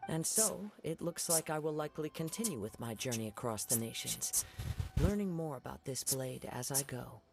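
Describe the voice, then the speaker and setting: A man voicing a calm, poetic young wandering swordsman in a video game, soft-spoken and formal. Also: medium pitch, moderate pace, creaky, music in the background.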